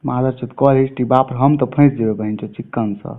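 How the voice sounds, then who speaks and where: A man's voice: medium pitch, fairly even intonation, a little fast. A man with a Bihari accent from Mithila in an informal phone conversation, swearing often in a coarse manner. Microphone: telephone. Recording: tinny narrowband phone call recording.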